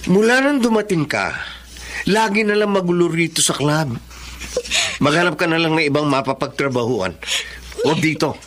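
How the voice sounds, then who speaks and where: A man's voice: fairly high pitch, normal speed, long pauses, a bit bright, close to an everyday voice. A man with a Filipino accent playing a fussy, exasperated, whiny nightclub manager in a radio drama.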